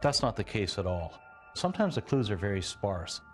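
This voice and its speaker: A man with a lowish voice explains his field as an expert being interviewed. He talks in unscripted explanatory remarks over faint music. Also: sing-song, moderate pace. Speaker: search and rescue tracking expert.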